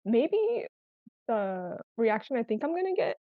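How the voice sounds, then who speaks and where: A woman's voice: fairly high pitch, very animated, unhurried. A woman whose first language was Spanish, telling personal stories casually and candidly as a podcast guest. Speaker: podcast guest, small business owner. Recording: roomy, echoey, slightly dull sound.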